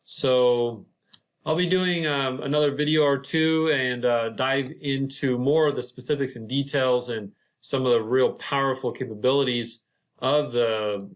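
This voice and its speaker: Male tutorial narrator, mid-pitched, unscripted and explanatory, talking through software steps informally with a halting delivery. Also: steady tone. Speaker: property manager presenting a software tutorial.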